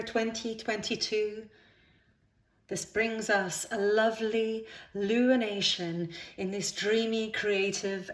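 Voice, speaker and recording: A woman with a mid-pitched voice leads a guided meditation. She speaks soothingly in unhurried phrases, leaving pauses between them. The sound is noticeably echoey, as in a reverberant room. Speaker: yoga nidra instructor. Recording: roomy, echoing sound.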